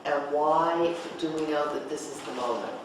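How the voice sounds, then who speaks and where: Echoey, far-off group leader probing with questions. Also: medium pitch. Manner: probing, halting, thinking aloud. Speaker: discussion leader, director of a play reading. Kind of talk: leading group discussion, asking questions. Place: echoey room, group table read. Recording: roomy, echoey, noisy distant mic.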